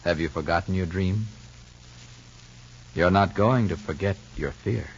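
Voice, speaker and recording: A man's voice: deep voice, unhurried, a little hiss or hum. A man announcing a 1950s radio serial with emphatic, rhetorical dramatic narration and sales delivery. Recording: dull vintage radio broadcast.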